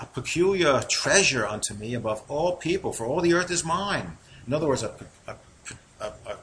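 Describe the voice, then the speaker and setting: A man with a New York accent, sitting at a mid-range pitch, works through scripture verse by verse. He reads the passages with a little lift and then drops into easy, conversational explanation. A faint hiss of background noise sits under the voice. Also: fairly bright tone, low-quality recording. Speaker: Bible teacher. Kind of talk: informal Bible teaching.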